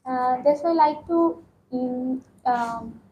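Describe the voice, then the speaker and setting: Hesitant young woman, high-pitched. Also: mellow, slight background noise, low-quality recording. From Bangladesh. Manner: hesitant, frequent ums and pauses. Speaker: test candidate. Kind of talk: mock speaking exam answers.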